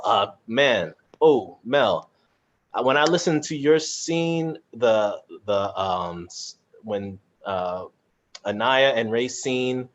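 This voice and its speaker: A man chatting casually as he moderates a panel, reflective and often searching for words, heard over a video call. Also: fairly low pitch. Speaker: actor and moderator of a cast discussion. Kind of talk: casual conversational panel chat. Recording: dull, lo-fi video call sound.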